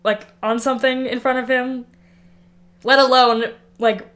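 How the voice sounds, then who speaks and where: A woman's voice: high voice, flat delivery, a little slow, fairly bright tone. A woman co-hosting a podcast in casual comedic banter, blunt and sardonic and often giggly. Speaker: podcast co-host.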